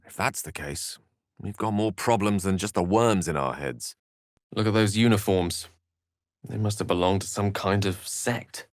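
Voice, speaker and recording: A man's voice: fairly low pitch, normal speed, no room sound, clean studio sound, natural voice. A man playing an eloquent, witty and self-assured wizard in a video game, with articulate, florid, wry delivery. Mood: grave, thoughtful. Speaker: game character voice actor. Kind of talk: theatrical video game character dialogue.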